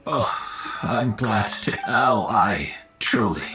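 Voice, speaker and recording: Weary, slow-talking male mentor. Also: fairly low pitch, expressive, music in the background. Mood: hesitant. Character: weary, resigned male master perfumer and mentor. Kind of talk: acted video game dialogue.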